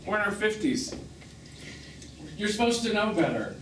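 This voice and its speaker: A bitter, hurt man in his fifties, with a highish voice that swings widely in pitch. He is sarcastic and emphatic, like a betrayed lover in a stage play. The recording is noisy and caught from far off. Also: very animated, normal speed, reverberant. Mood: wounded, scornful. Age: 50s. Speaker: stage actor. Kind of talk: live stage drama acting. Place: small theatre, recorded from the audience.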